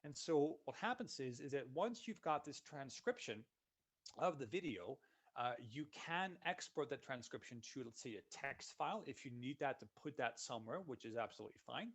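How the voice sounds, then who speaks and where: Man demoing software. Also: medium pitch, normal speed, slightly rough. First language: Dutch. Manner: relaxed, conversational walkthrough narrating each click. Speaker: software solutions consultant. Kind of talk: live webinar software demo.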